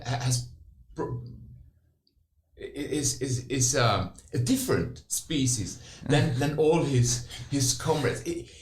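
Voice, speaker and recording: A man from the west coast of Norway, co-hosting a conversational podcast and talking in halting phrases full of restarts. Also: medium pitch. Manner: halting, many restarts and repeated words. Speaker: podcast co-host. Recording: roomy echo.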